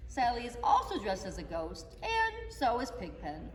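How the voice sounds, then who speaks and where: A woman narrating a children's reader's theater story in an expressive, animated way, recorded from afar in an echoey hall. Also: fairly high pitch, moderate pace, faint music behind. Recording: echoey hall, mic far off.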